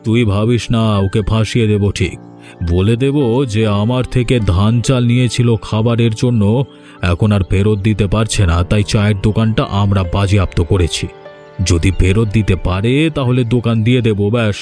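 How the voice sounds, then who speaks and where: A man's voice: lowish voice, steady tone, moderate pace, darkish tone, soft background music, lightly acted. A man playing a scheming, domineering older village landlord in a cartoon, with a commanding, condescending manner. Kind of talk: Bengali cartoon voice acting.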